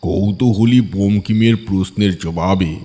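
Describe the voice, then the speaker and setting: A man's voice: low-pitched, unhurried, dark tone. A man narrating a scripted horror story as an audiobook reader. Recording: long hall-like reverb.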